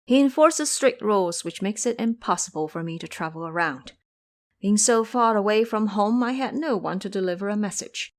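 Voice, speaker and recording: A woman's voice: mid-range voice, moderate pace, natural voice. A woman voicing a proud, sorrowful captive princess in an audio drama, speaking in an earnest, formal and dramatic way.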